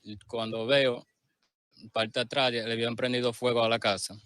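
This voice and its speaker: Un hombre dominicano entrevistado en un reportaje de noticias que relata lo ocurrido de forma sencilla y entrecortada. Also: male voice, mid-range voice, level tone, a bit bright, smooth, no room sound. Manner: plain, halting recollection. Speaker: interviewee. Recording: news clip with faint music.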